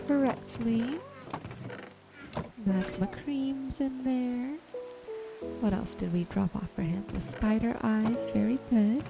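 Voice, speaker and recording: Female ASMR gaming narrator, soothing and slow-paced, medium pitch, with music and rain clearly behind her. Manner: gentle, soothing, unhurried narration. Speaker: gaming video creator. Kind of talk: ASMR gameplay commentary. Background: music and rain sounds.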